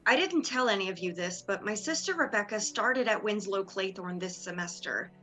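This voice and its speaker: A woman's voice: mid-range voice, expressive, moderate pace, a bit bright, soft background music, close to an everyday voice. A young woman playing a proud, wounded and determined sorority leader in a dramatic reading. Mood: bitter.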